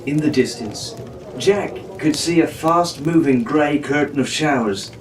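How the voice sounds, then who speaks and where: A man's voice: on the high side, a little slow. A man narrating a pirate adventure story in a theatrical, in-character way, as a storybook reader. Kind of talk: scripted dramatic narration reading a children's pirate novel. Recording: roomy, distant mic with faint noise.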